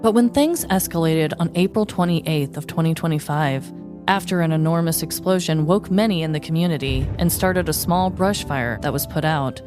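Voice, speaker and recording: Female podcast host, slightly low voice, wry and playful scripted narration over light music. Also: moderate pace. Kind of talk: scripted comic true-crime storytelling.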